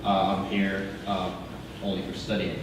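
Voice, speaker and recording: A man's voice: sits low, monotone, a little slow. A young man from Israel, a student, asking a question from the audience in a hesitant way full of fillers. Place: university lecture room. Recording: echoey room, voice from far off, audible noise.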